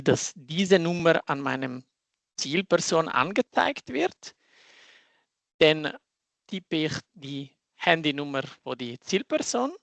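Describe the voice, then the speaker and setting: A man who is not a native German speaker, a social engineer walking through a live demo in halting German with grammar slips. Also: fairly high pitch, gravelly, a bit bright, dry recording. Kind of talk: live demo in an online talk.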